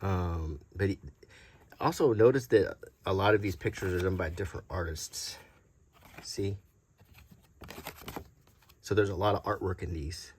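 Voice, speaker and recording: Middle aged guy, low raspy-husky voice, halting casual show and tell, kinda rambly and conversational. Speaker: YouTube host showing vintage tabletop game monster cards.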